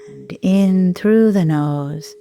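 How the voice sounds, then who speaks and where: A middle-aged woman leading a guided meditation in a soothing, unhurried manner with gentle pauses. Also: on the low side, a little hiss or hum. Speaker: sound healer and meditation guide.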